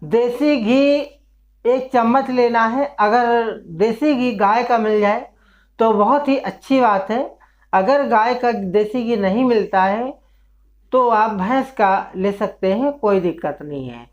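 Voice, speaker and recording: A man who works as a traditional herbal healer, explaining a home remedy in a slow, repetitive way and listing one ailment after another. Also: extremely high pitch. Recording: dull lo-fi sound.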